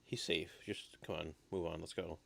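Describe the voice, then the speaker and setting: A man with a very low voice and a gravelly creak hosts a casual live baseball stream. He sounds a little raspy, as if recovering from an illness. His play-by-play is low-key and unhurried. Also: fairly even intonation, a bit bright. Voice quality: slightly raspy. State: getting over an illness, coughing.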